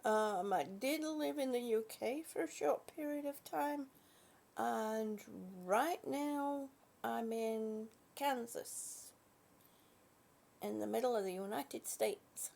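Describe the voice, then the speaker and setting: A British woman hosting a casual live chat from her bed, greeting viewers warmly as honey and sweetie and sometimes dropping to a soft whisper. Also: on the high side, vocal fry. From British, born in Singapore. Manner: slow, soft, trailing off into whispers. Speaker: livestream host chatting with viewers. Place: at home in bed.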